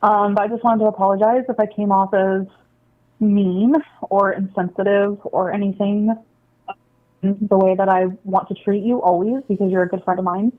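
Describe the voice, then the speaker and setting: A woman leaving a halting, pleading apology on voicemail, sounding anxious and close to tears. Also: medium pitch, little pitch movement. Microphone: phone. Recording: tinny, narrow-band phone voicemail.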